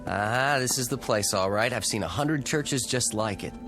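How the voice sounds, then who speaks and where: An actor playing an irritable, sardonic husband with an American accent in a mid-century television drama. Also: male voice, medium pitch, moderate pace, music in the background, natural voice. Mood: wry, determined. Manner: sardonic, gruff, dismissive. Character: cynical, irritable husband stranded in a strange town.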